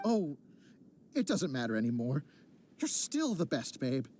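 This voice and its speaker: Nervous, lively young boyfriend. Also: male voice, medium pitch, a little slow, slight background noise. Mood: apologetic. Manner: pleading, defensive. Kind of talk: video game voice acting. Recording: game audio with background music.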